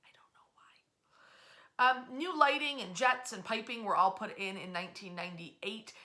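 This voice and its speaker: A woman hosting an informal history storytelling talk, speaking conversationally with animated asides and emphatic pauses. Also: medium pitch.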